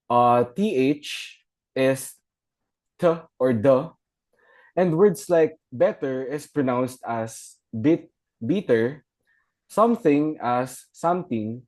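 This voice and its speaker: A man's voice: mid-range voice, a little mellow. Young man explaining to a class. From Philippines. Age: young adult. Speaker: student presenter. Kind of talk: class presentation.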